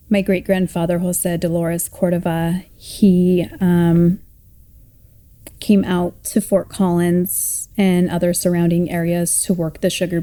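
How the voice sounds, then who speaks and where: A woman in her thirties from Colorado tells her personal story in a reflective, unhurried way. Her voice sits a little low and sounds mellow and soft. She pauses often and restarts her thoughts as she speaks. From Colorado, United States. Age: 30s. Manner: reflective, halting, with many fillers and restarts. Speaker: oral history storyteller.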